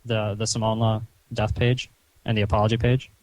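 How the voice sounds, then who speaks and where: A man talking on a recorded phone call in a stern, chiding, halting way, padding his sentences with "you know". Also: lowish voice, little pitch movement. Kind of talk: phone conversation, reproachful lecturing.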